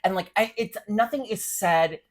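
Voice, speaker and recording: A man's voice: high-pitched, moderate pace. A man co-hosting a casual, unscripted book podcast, rambling with lots of "like" and speaking bluntly with some swearing. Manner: rambling, heavy use of "like". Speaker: podcast co-host discussing short fiction.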